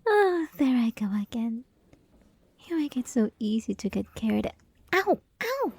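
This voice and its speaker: Woman acting a soft, high-voiced clingy yandere schoolgirl. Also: big pitch swings, husky, no echo, full character voice. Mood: playful pouting. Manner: coy, teasing, pleading, halting, often dropping to whispers. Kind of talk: ASMR roleplay, intimate scripted monologue.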